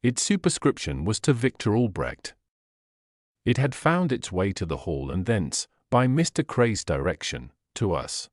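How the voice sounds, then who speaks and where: Low-voiced man narrating with lively pitch. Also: no echo, studio quality. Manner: measured, literary, formal storytelling. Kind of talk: scripted audiobook narration of a Victorian detective story.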